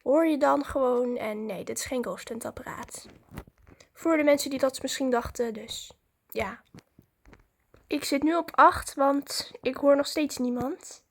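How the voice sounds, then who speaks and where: A woman's voice: high-pitched, measured pace, creaky. A woman chatting informally to her viewers in a casual vlog, narrating what she is doing as she goes. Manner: informal, chatty, narrates own actions as they happen. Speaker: vlogger.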